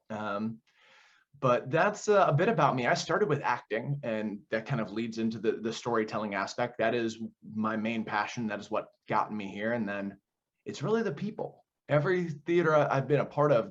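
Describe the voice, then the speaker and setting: Young American man, dull lo-fi audio. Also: lowish voice. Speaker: community theater director and actor, interview guest. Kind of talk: conversational interview answers.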